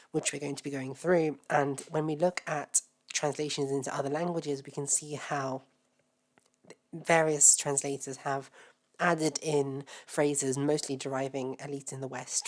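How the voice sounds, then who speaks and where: A woman hosting an educational reading, talking in a relaxed, explanatory way, her voice a bit off from a cold. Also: deep voice. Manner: relaxed, self-deprecating, explanatory. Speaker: host.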